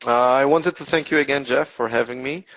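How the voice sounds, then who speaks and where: A man's voice: mid-range voice, a little fast, a bit bright, dry recording, low-quality recording. A man with an Israeli accent, a guest presenter answering webinar questions, hesitant with many fillers and restarts. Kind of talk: webinar Q&A explanation.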